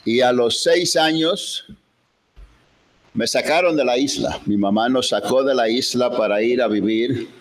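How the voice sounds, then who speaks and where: An older man gives a slow, unhurried chapel sermon and takes his time over his own life story. He grew up speaking English as his first language on a small Colombian island. His voice sits at an everyday middle pitch. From Colombian island of Providencia. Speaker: visiting pastor. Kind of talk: chapel sermon with personal testimony.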